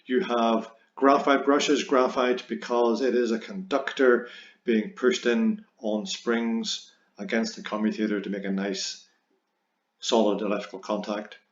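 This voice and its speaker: A man's voice: on the low side, level tone, measured pace. A man teaching a physics lesson, explaining step by step and stressing key words. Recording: roomy echo, dull lo-fi sound.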